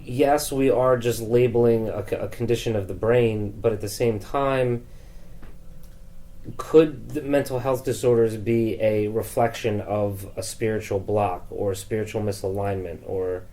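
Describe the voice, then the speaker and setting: A man's voice: fairly low pitch, steady tone, unhurried, warm tone. A man hosting a casual conversational podcast, probing tentatively and searching for words as he builds each point. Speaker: podcast host.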